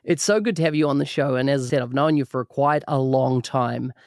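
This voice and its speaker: A man's voice: medium pitch, a little fast, no room sound, clean studio sound. A man hosting a podcast, enthusiastic and full of praise. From New Zealand. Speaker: podcast host. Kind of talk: podcast interview.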